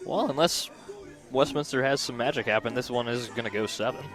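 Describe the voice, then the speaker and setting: A man giving conversational color commentary on a live softball broadcast. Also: mid-range voice, brisk, faint music behind, a faint crowd. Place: outdoors at a ballfield, on a platform by tables.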